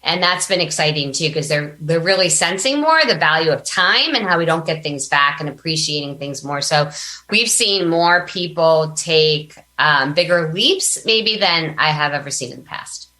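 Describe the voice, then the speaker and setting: Casting: woman business coach, low register, bright and punchy, chatty interview delivery. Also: lively intonation, moderate pace. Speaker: podcast guest, business coach and author. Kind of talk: casual interview talk.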